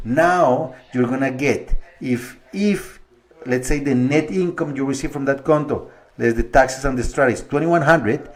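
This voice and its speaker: A middle-aged man explains money matters in a conversational video, working through the figures one step at a time. His voice sits at an ordinary middle pitch for a man, with small restarts as he goes. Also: unhurried. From Colombia. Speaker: mortgage broker. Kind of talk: explanatory video monologue to viewers.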